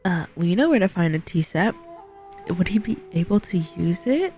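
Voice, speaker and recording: A woman with a slightly low voice gives casual gameplay reactions on a close mic. She is informal and emotive, with soft game music behind her. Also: big pitch swings, moderate pace. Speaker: streamer playing a game.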